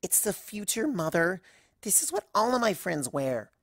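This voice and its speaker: A woman's voice: medium pitch, big pitch swings, normal speed, no room sound, clean studio sound, voice pushed down. A woman voicing an embarrassed, sulky teenage pony daughter in a fan fiction reading, whiny and exasperated, drawing out her words.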